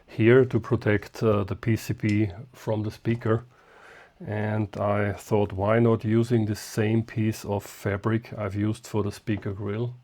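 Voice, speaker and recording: A man giving an unscripted hands-on demonstration as a hobbyist, talking in short, halting phrases as he works, partly muttering to himself. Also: lowish voice, darkish tone. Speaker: hobbyist host of radio repair videos.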